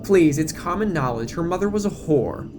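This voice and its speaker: A man's voice: a little high, lively intonation, moderate pace, over music, pitched above the performer's own voice, natural voice. Man playing a snide, gossiping teenage mean girl. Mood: snide, cruel. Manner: snide, dismissive, sardonic.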